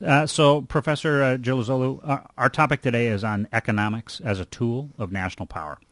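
A male interview host with a mid-pitched voice, recorded close and dry. He phrases things formally and in a measured way, as a presenter setting up a serious discussion. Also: fairly even intonation, no echo. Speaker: radio show host and interviewer. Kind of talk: introducing a guest and asking questions.

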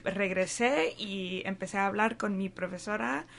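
A woman speaks slowly, her voice carrying a gravelly edge. She chats in a relaxed group conversation with unhurried, lightly humorous remarks. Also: mid-range voice, a little hiss or hum. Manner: slow, with playful put-on quotes. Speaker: panelist. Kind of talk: casual panel chat.

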